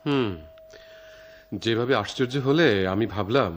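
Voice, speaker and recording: An actor playing an idealistic young writer in love in a radio drama, poetic and earnest, with a Bangladeshi accent. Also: male voice, mid-range voice, unhurried, natural voice. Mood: teasing, tender. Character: romantic, idealistic young male writer, devoted eloping lover. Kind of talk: theatrical radio drama acting.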